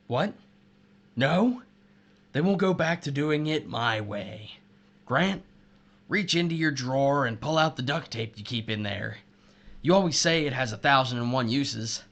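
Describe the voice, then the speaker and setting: A man acts out a wronged husband who has turned murderer in a radio-style drama. His voice is bright and forceful at an ordinary male pitch. He taunts with sardonic, deliberate menace. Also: close to an everyday voice. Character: betrayed, vengeful husband turned sadistic murderer, missile silo worker. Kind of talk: audio drama acting.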